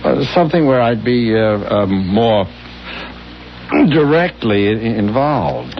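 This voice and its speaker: Casting: gentle milquetoast for radio drama, naive, halting, very animated pitch, dull vintage sound. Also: male voice, slight background noise. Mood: apologetic, hesitant. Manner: stammering, hesitant, flustered. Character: meek, mild-mannered married man, timid, naive and forgiving. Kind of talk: 1970s radio drama acting. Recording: dull 1970s radio recording with music.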